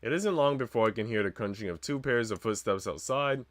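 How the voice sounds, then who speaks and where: A man's voice: medium pitch, a little fast, no room sound. A man reading a visual novel aloud as a streamer, narrating the script and dropping in slangy, joking asides.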